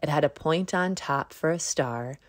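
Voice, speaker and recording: A woman narrating a children's picture book in a measured read-aloud manner. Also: deep voice, no room sound, studio quality. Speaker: storybook narrator. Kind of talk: scripted children's story narration.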